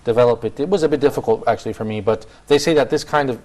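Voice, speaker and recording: A man's voice: medium pitch, very fast, a little mellow. A man from the United States hosting a studio talk show, asking the guests questions. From San Francisco, USA. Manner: upbeat, asks guests questions. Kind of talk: casual studio panel talk.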